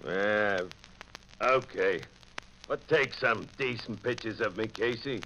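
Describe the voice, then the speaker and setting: Tough touchy gangster, low forceful voice, blunt slang, old radio drama. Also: male voice, takes it slowly, heavily acted. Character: touchy, tough male racketeer with thin vanity. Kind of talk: 1940s radio crime drama acting. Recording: noisy old-time radio recording.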